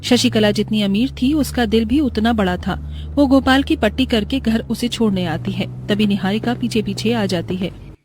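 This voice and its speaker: A woman tells a scripted cartoon bedtime story. Her voice sits at a middle pitch as she narrates the moral tale. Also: normal speed, a little mellow, a little hiss or hum, poor audio.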